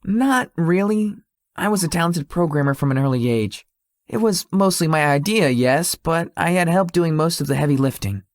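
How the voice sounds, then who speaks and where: A man playing a lazy, sweet-toothed young tech company founder in a visual novel, speaking in an awkward, low-key, hesitant way. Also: expressive, moderate pace, no echo, clean studio sound, natural voice. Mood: laid-back. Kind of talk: visual novel character dialogue.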